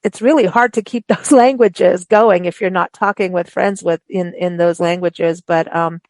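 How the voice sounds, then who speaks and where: A woman hosting a radio interview, raised in Africa, who talks in a chatty, animated way with many restarts. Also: lowish voice, normal speed, no room sound. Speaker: radio show host. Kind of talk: casual interview chat.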